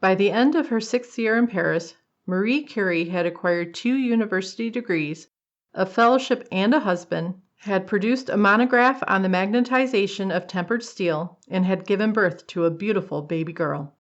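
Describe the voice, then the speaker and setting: A woman's voice: mid-range voice, normal speed, lo-fi sound. A woman narrating a scripted old-time radio drama.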